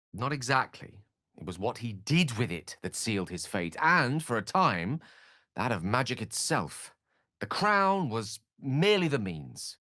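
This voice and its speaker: A bookish wizard man for a game, deep voice that moves around a lot, wordy, wry, super articulate. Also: fairly bright tone, no echo, clean studio sound. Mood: pensive. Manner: articulate, bookish, florid phrasing. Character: eloquent, learned male wizard, wry and earnest. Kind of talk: scripted video game character dialogue.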